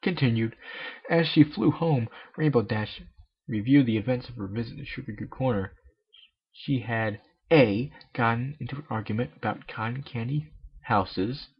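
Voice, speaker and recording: A man's voice: mid-range voice, measured pace, gravelly, pauses often, slightly rough. A man reading a story aloud as an amateur, with sarcastic, joking commentary. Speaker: amateur fanfiction reader. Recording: dull lo-fi home recording.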